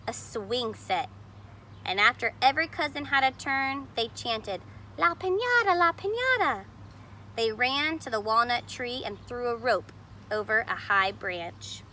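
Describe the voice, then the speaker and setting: Bright-voiced woman reading a storybook aloud. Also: mid-range voice, a little hiss or hum. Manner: explains pictures simply, as to young children. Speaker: storybook reader. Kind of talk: expressive read-aloud of a picture book with plain asides on the illustrations.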